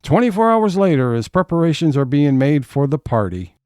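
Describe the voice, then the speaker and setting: A man's voice: fairly high pitch, sing-song, moderate pace, darkish tone, smooth, dry recording, clean studio sound. A man playing the scene-setting narrator of an audio theatre comedy-drama.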